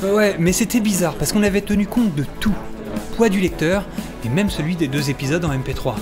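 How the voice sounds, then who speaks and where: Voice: medium pitch, fairly quick, lightly acted. A performer playing a team member who explains a mishap, hesitant at times, in an amateur audio drama. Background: music.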